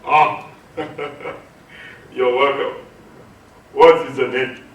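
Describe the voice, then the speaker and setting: A man on stage playing a generous, important gentleman who speaks with emphatic reassurance. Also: medium pitch, little pitch movement, extremely slow. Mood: curious. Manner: emphatic, reassuring, projected delivery. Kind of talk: theatrical one-man stage acting. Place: hotel hall stage. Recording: distant echoey stage recording, faint noise.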